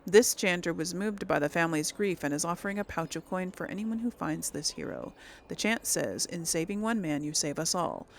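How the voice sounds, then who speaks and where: A woman's voice: lowish voice, lively intonation, brisk, vocal fry, slight background noise. A woman doing casual, chatty let's play commentary, thinking aloud and reading in-game text. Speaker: host of a role-play game series.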